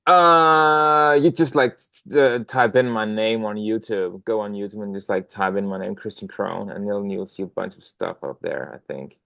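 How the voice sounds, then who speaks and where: Young Danish man, lowish voice, dry close sound, rambling with fillers. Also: steady tone, normal speed, gravelly, hardly any pauses, sharp tone, clean tone, dry recording. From Denmark. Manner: many fillers and restarts, friendly rambling. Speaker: guest drummer. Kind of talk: casual interview talk.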